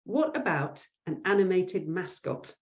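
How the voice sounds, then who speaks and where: A woman's voice: fairly low pitch, very animated, measured pace. A woman playing a chirpy office assistant full of ideas in an amateur comic story. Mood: enthusiastic. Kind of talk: amateur comic audio drama.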